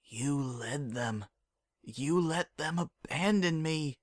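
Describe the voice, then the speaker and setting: Man voicing a weak, raspy, accusing young mare. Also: on the high side, takes it slowly, no echo, studio quality, voice pushed up. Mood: weak accusation.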